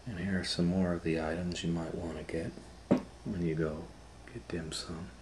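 A middle-aged man reading a picture book aloud to children in a relaxed, chatty way, sometimes dropping to a near whisper, recorded on a close camera mic at home. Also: low voice, normal speed, very noisy. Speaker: children's storytime reader. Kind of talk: casual read-aloud for kids.

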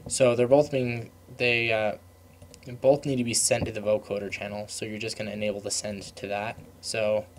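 A young man giving a casual, unscripted software tutorial, rambling and trailing off mid-thought in an informal way. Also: fairly low pitch, little pitch movement, normal speed, a little hiss or hum.

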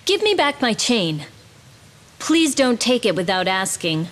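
An actress voicing a proud, dutiful young princess warrior who speaks in a composed, firm way in a game cutscene. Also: female voice, on the high side, sing-song, measured pace. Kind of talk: video game cutscene dubbing. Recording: game audio with faint music behind.

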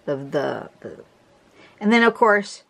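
Brief: woman teacher, lowish voice, stuffy, chatty and rambling. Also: very animated, takes it slowly, a bit bright. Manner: chatty, restarts a lot, clears her throat. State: congested. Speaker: drawing teacher. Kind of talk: casual tutorial narration. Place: home desk, camera over the drawing surface.

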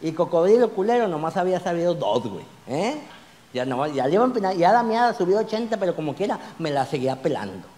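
Animated comedian with a high voice. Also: male voice, fast, long reverb tail. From Mexico. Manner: animated, gesturing broadly, crude slang, rising to shouts on punchlines. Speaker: stand-up comedian. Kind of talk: stand-up comedy routine. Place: small club stage with audience. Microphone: handheld mic.

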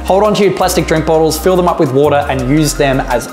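A man's voice: a little high, quick pace, a little mellow, roomy sound, soft background music. An Australian man in his thirties, an upbeat fishing channel host talking to camera, gesturing with his hands as he gives fishing tips in his garage.

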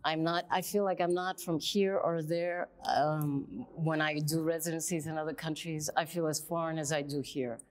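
A middle-aged woman, born in Colombia and raised in the United States, speaking earnestly as a guest on an art panel in a bright studio. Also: low-pitched, fairly even intonation, normal speed, few pauses. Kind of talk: panel conversation.

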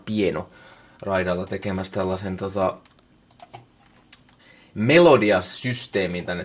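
A Finnish man with a low voice walks through music software in a casual, off-the-cuff way. He talks colloquially and mutters little asides to himself as he works. He is picked up by a camera mic indoors at a computer. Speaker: music production tutorial maker. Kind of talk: casual unscripted software walkthrough. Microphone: camera microphone.

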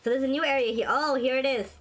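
A woman hosting a gaming video with casual, excitable commentary, her voice raspy from a sore throat. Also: high voice, fast talker. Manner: excitable, shrieks and laughs at wins and deaths. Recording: faint game music behind.

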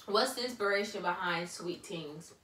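Bright, playful young woman host. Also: fairly low pitch. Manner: playful, quick to laugh. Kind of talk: casual chat. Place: studio set, indoors. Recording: roomy, slightly distant sound.

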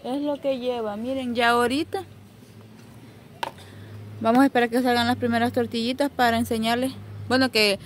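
A woman's voice: a little high, little pitch movement, moderate pace, long pauses. A woman with a Salvadoran Spanish accent chatting casually as she hosts a street cooking vlog outdoors. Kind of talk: casual chat while showing street food. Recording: loud street traffic noise. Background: passing vehicles and street ambience.

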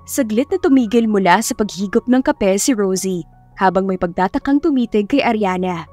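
A woman's voice: mid-range voice, normal speed, darkish tone, faint music behind. A woman reading a scripted romance story as an audiobook narrator.

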